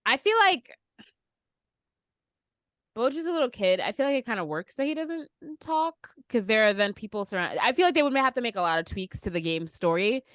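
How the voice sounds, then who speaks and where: A woman's voice: on the high side, big pitch swings, fairly quick, a bit bright, no echo, studio quality. A woman hosting a podcast, chatting casually about pop culture. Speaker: podcast host.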